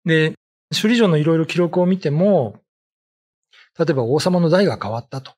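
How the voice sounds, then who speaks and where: A middle-aged man explains things informally as a storyteller and commentator. His voice is slightly husky and a bit high, with very lively intonation. He speaks conversationally, pausing often between phrases. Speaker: ghost story writer and commentator. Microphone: desk condenser mic with pop filter.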